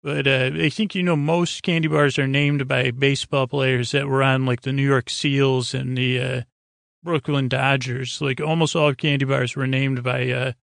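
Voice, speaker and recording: An American man hosting a sleep podcast, rambling in a low-key, halting way and trailing off mid-thought. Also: little pitch movement, vocal fry, fairly bright tone, no echo, studio quality. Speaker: podcast host.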